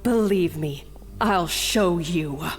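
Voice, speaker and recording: A woman playing a confident, sharp-tongued rival chef who goads her opponent in a video game. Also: on the low side, takes it slowly, breathy edge. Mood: defiant. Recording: long reverb with music behind.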